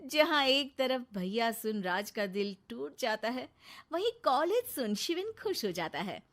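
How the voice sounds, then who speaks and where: A woman tells a cartoon moral story as its narrator. Her voice is fairly high and animated, with lively rises and falls. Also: measured pace. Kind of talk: scripted Hindi storytelling for a cartoon.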